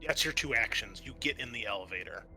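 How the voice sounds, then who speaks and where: A man with a bright, forward voice and a middle pitch works as a game master. He explains turns and narrates the scene conversationally, as if making it up on the spot, with music behind him. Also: expressive, fast talker, low-quality recording.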